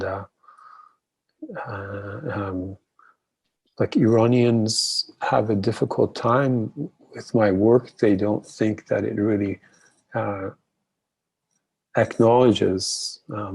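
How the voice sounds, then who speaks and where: A middle-aged man from Iran, an artist, speaking hesitantly with many pauses and fillers in a panel conversation. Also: fairly low pitch, gravelly, darkish tone, breathy edge, low-quality recording.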